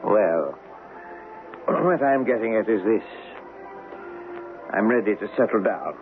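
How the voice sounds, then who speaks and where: Boastful, insistent captain on tinny radio. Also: male voice, lowish voice, expressive, takes it slowly. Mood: eager wooing. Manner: insistent, self-satisfied. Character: boastful, persistent army captain wooing a reluctant lady. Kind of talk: old-time radio drama acting. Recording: narrow, tinny radio broadcast, music behind.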